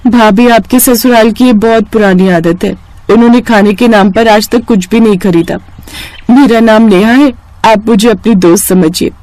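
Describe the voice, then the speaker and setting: A woman's voice: on the high side, normal speed, a little mellow, no room sound, natural voice, poor audio. A woman voicing a friendly, earnest and outspoken young village woman in a moral story. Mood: friendly, concerned.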